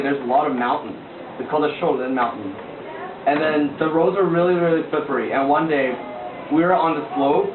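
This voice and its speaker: Earnest teen boy, distant and tinny. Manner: earnest storytelling with lots of filler words and repeats. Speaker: youth sharing testimony. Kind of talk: casual spoken testimony to a group. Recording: echoey, distant, tinny low-fi recording with background noise.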